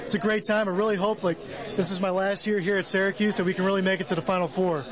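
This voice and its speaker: Crowd noise, young man, high voice, quick casual speech. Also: level tone. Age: early 20s. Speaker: university student interviewee. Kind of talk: casual chat. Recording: loud crowd noise behind.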